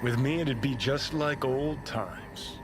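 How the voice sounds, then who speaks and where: A man's voice: mid-range voice, slow pace, close to an everyday voice. An actor playing a brash, foul-mouthed male rebel in a video game, blunt, cocky and pushy in his persuasion. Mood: pushy, persuasive. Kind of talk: video game dialogue acting. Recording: loud music behind the voice.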